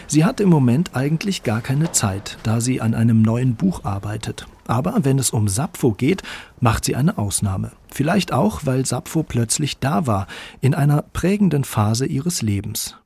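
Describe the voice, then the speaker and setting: A man's voice: mid-range voice, lively intonation, moderate pace, vocal fry, darkish tone, breathy edge. A man reading scripted narration as the narrator of a radio documentary.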